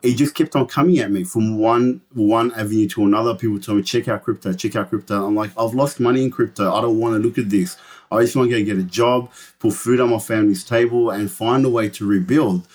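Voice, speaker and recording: A man in his forties, born in Lebanon, talking earnestly and quickly as an interview guest, often restarting his sentences. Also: on the low side, a little mellow. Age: 40s. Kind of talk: conversational interview.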